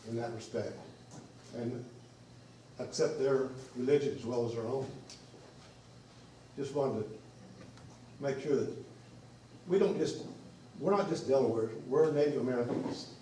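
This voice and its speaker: Earnest man in a booming hall. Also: warm tone, slight background noise. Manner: earnest, deliberate, repeats himself. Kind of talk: public meeting remarks. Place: large meeting room. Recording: echoey meeting hall from far off.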